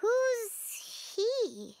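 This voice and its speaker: A woman voicing a tiny, childlike, excitable fairy companion in a video game, squeaky and inquisitive. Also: extremely slow, no echo, clean studio sound, heavily acted. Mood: puzzled, insistent. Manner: inquisitive, exclamatory, refers to self by name.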